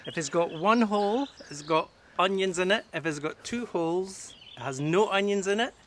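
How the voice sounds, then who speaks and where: A Scottish man co-hosting a guided tour outdoors, chatty and quick to laugh, chiming in and passing along viewers' questions. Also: high-pitched, lively intonation, a bit bright, slight background noise. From Scotland.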